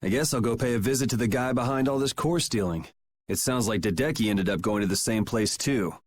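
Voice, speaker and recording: A man's voice: mid-range voice, fairly quick, natural voice. A man voicing a cool, sardonic young swordsman in a video game, laid-back, wry and teasing. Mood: nonchalant. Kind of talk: English video game dub acting.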